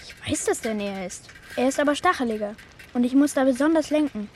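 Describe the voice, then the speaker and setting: Very high-voiced, blunt young boy played by a woman. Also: quick pace, a little mellow, a little hiss or hum, close to an everyday voice. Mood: gruffly helpful. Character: young boy, bike-proud, sceptical but helpful friend. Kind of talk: children's radio play acting.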